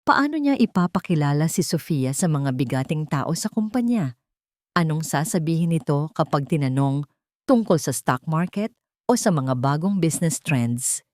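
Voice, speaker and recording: A woman's voice: on the low side, darkish tone, no echo, studio quality. A woman giving a dramatic scripted narration of a love story.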